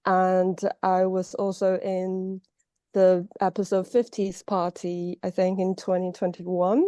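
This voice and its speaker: Woman, Chinese accent, non-native English, slow, mellow, earnest, halting, dry sound. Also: fairly low pitch, little pitch movement, no room sound. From China. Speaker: guest translator. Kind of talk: casual chat.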